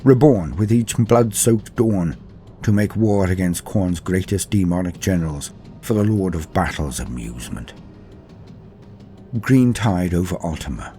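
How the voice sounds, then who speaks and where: A man reading a scripted lore history in a measured, steady storytelling delivery. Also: low-pitched, soft background music. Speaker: lore narrator. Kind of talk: scripted narration.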